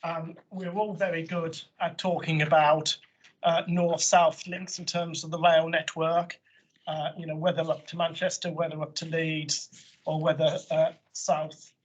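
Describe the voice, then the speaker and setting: A man with a croaky voice, a councillor presenting a report at a committee meeting in a chatty manner with informal asides. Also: a little high, level tone, measured pace. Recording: muffled lo-fi meeting audio.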